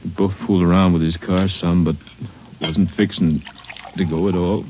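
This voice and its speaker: An actor playing a middle-aged wounded deputy grieving his son, speaking haltingly and weakly in a 1950s radio drama. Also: deep voice, little pitch movement, slight background noise, lightly acted. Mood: dazed, weary. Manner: halting, folksy, weakened delivery. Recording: muffled old radio recording.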